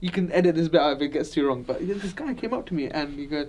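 A high-voiced man hosts a loose, casual conversation. He talks in animated bursts and laughs often while telling stories. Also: fast. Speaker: podcast host. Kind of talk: casual chat.

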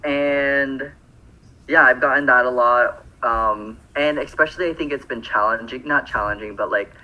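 A man's voice: medium pitch, normal speed, muffled recording. A man from Phoenix co-hosting a podcast, chatting casually and rambling through lots of fillers and self-corrections. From Phoenix, Arizona. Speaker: podcast co-host.

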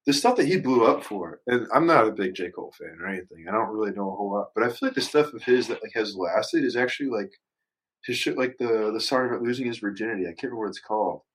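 A man's voice: on the low side, expressive, fast talker, slightly rough, low-quality recording. A man in a casual podcast discussion, explaining his opinions in a rambling, slangy way. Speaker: podcast panelist. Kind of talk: casual group podcast chat.

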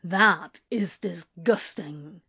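A woman voicing a sardonic, flirtatious male demon, drawling her lines a little lower than her narration. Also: drawn-out words, pushed and bright, husky, exaggerated voice. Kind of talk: audiobook reading of fan fiction.

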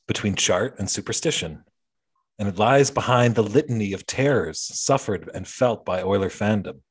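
A man's voice: lowish voice, husky. A man hosting a casual sports podcast, sardonic and profane, opening with a mock-dramatic intro. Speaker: podcast host. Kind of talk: casual hockey chat.

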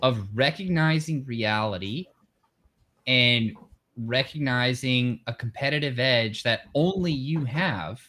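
A man hosting a podcast, speaking in a measured, reflective way as he explains ideas step by step. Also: medium pitch, pushed and bright. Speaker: podcast host. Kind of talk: casual conversation.

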